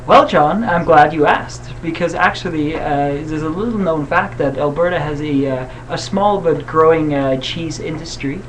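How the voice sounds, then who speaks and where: Hesitant man with a higher voice. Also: moderate pace, few pauses, poor audio. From Switzerland. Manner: hesitant, many uhs and ums. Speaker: campaign guest. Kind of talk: casual interview answers. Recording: audible background hiss.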